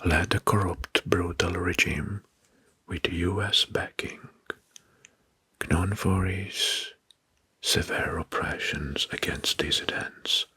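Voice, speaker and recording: A man whispers in a very breathy, husky ASMR narration, taking his time between phrases. His delivery is hushed and halting, with words softly repeated, and the whisper has a crisp, bright edge. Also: sits low, steady tone. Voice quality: breathy, mostly unvoiced whisper.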